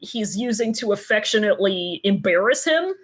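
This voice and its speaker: A woman hosts a casual fan podcast in a middle-pitched voice with very lively intonation. Her manner is wry and informal, and she swears easily. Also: normal speed, breathy edge. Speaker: podcast host.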